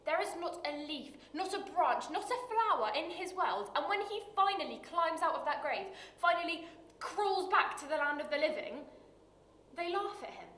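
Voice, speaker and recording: A woman acting a dramatic screen monologue as a bitter, wounded working-class woman, confessional and halting, with weighted pauses. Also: on the high side, a bit bright. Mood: bitter, intense. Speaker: actor performing a monologue. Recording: roomy echo with light background noise.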